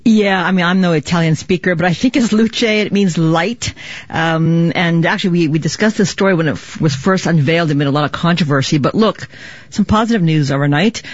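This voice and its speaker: A woman's voice: fairly low pitch, brisk, dry recording. A woman reporting as a business correspondent in a conversational live news style. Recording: dull lo-fi audio.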